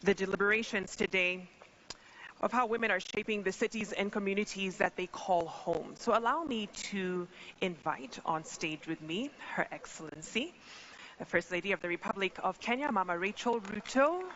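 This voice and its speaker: A woman's voice: medium pitch, moderate pace, fairly bright tone, lo-fi sound, a little hiss or hum. A woman moderating a formal panel, addressing dignitaries in a polished manner. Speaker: event moderator. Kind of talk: formal event hosting.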